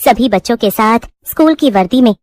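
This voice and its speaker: A woman's voice: fairly high pitch, lively intonation, a little fast, a little mellow, slight background noise. A woman reading a scripted bedtime moral story as narrator.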